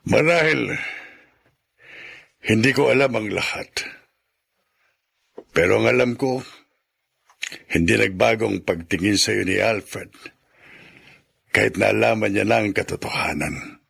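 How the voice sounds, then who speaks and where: An actor playing a grave, compassionate middle-aged elder brother in a radio drama, pleading solemnly in a gravelly, husky voice. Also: male voice, fairly low pitch, unhurried, long pauses, a bit bright, heavily acted. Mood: solemn, earnest. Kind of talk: Filipino radio drama acting.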